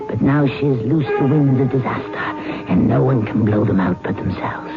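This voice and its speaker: A woman's voice: very deep voice, animated, moderate pace. An actress playing a sinister, commanding witch in theatrical old-time radio style, incanting imperiously to her familiar. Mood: scornful, menacing. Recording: muffled old radio broadcast, music behind.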